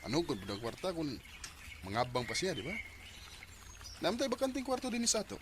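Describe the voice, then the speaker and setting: A man's voice: fairly high pitch, wildly swooping pitch, brisk, background noise. A man with a Cebuano accent acting a frustrated, hesitant husband in a Bisaya radio drama. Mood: casual. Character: frustrated, hesitant husband tempted by another woman.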